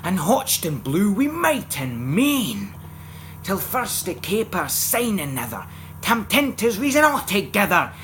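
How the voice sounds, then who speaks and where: Scottish man reciting verse, very animated delivery. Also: sits high, unhurried, a little hiss or hum. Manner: theatrical, expressive, swinging from hushed to loud. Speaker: poetry reciter. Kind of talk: dramatic recitation of a Scots narrative poem.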